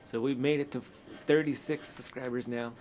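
A man's voice: medium pitch, fairly even intonation, normal speed, a little mellow, slight background noise. A man playing a video game and commenting casually as he goes, co-hosting. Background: video game music.

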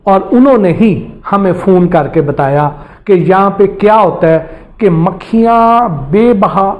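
Middle-aged guy at a studio desk, highish voice, slow and earnest, kinda dull lo-fi sound. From Pakistan. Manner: earnest, explanatory, describing step by step. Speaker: investigative reporter. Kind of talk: studio presenter narrating over footage.

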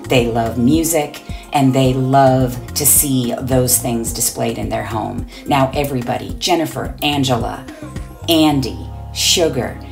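Brief: female designer, upbeat voice-over narrator, very deep, gravelly tone. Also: a little mellow. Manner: upbeat, enthusiastic. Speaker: interior designer. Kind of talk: voice-over narration of a home reveal.

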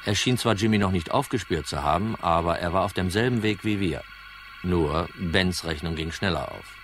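An actor playing a cool, composed insurance detective with dry irony in a crime radio drama. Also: male voice, low voice, moderate pace, background noise, close to an everyday voice. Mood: matter-of-fact. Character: cool, wry male insurance detective narrating his case. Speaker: actor, narrator and lead. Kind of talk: German crime radio drama, first-person narration.

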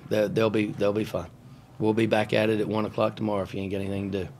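A middle-aged man, a basketball coach, answering questions at a postgame press conference in a halting, matter-of-fact way, with many fillers and restarts, into a table microphone. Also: on the low side, flat delivery, a little mellow, a little hiss or hum. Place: press room in front of a sponsor backdrop. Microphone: table mic on a stand.

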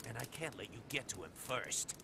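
An actor playing a brash, cocky young assassin antihero in a video game, blunt and curt. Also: male voice, medium pitch, animated, moderate pace. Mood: cool, blunt. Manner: blunt, curt, swearing swagger. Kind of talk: English video game cutscene acting. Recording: game audio with clear background noise.

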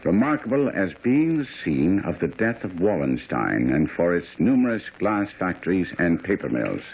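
Radio mystery: assured, didactic detective, very low voice, lively intonation, phone-like sound. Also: male voice, normal speed. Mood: keen, instructive. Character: brilliant, self-assured, incisive male detective. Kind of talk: radio drama acting. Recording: muffled, noisy old radio recording.

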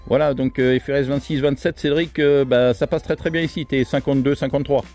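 A man's voice: mid-range voice, steady tone, a little fast. A man talking casually as an amateur radio operator, trading call codes and signal reports. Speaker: amateur radio operator running contacts from a hilltop. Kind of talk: casual radio contact exchange with operator jargon. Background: music.

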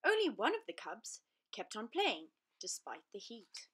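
A woman narrating a children's storybook aloud in an expressive, deliberate, dramatic way. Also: medium pitch, husky.

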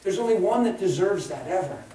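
An older man preaching a sermon in a folksy, animated way, swinging between loud and hushed, in a large indoor arena hall, picked up from far off by the camera. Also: high voice, brisk, crowd noise. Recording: echoey hall from far off, ambient noise.

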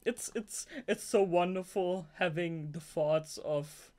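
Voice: medium pitch, takes it slowly, a little mellow. A young adult native German speaker reading a novel aloud as amateur audiobook narration, sometimes breaking into gushing fan asides. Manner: giggly, gushing fangirl asides between read passages. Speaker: audiobook reader.

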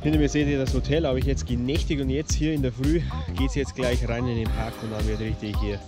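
A man's voice: mid-range voice, steady tone, a little fast, over music. A man casually vlogging a road trip, talking straight to his viewers with plenty of enthusiasm. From Bavaria, Germany. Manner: chatty, enthusiastic, addresses viewers directly. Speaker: travel vlogger. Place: car and outdoors on the road.

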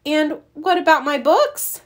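A woman's voice: high voice, animated, unhurried, voice pushed up. A woman voicing an anxious, questioning young boy bear cub in a children's storybook, plaintive and wide-eyed. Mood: worried. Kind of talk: children's storybook read-aloud.

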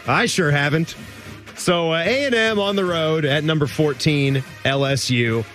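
A man's voice: fairly high pitch, measured pace, faint music behind. A man hosting a post-game college football radio show, rattling off scores and joking with his co-host. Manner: lively, rattles off scores and jokes.

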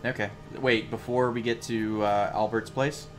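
A man's voice: medium pitch, steady tone, moderate pace. A man giving casual, playful and sweary commentary as he plays a video game with friends. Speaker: player and voice actor in a group game playthrough. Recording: music behind the voice.

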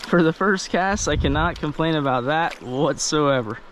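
Chatty man wading a river. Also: fairly high pitch. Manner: chatty, excited, talks to the fish. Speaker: fly fishing vlogger. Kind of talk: casual narration while fishing. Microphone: head-mounted action camera. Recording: faint river noise outdoors. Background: rushing stream water.

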